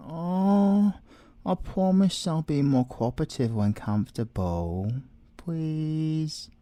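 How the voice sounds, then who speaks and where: British man acting a slow, smug, flirtatious siren. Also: medium pitch, warm tone, dry recording. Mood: playful, coaxing. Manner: teasing, smug, theatrical, sometimes whispering. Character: flirtatious, arrogant yandere male siren, a captive thief. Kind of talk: ASMR audio roleplay acting.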